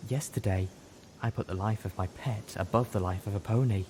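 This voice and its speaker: A man voicing a gentle, caring female unicorn healer with soft, articulate speech in an audiobook. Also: fairly low pitch, brisk, slight background noise, close to an everyday voice, low-quality recording. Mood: sorrowful. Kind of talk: audiobook character voice.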